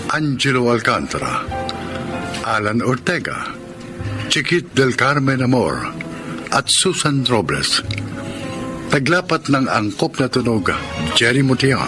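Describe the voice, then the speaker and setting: Measured, expressive Filipino male radio announcer. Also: mid-range voice. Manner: measured, dramatic. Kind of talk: formal scripted radio announcing. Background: music.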